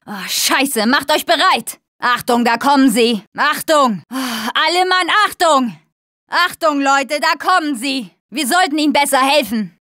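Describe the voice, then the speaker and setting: A woman's voice: high-pitched, a bit bright, no echo, clean studio sound. An actress playing a tough young woman survivor in a dubbed zombie video game, speaking in short, urgent callouts.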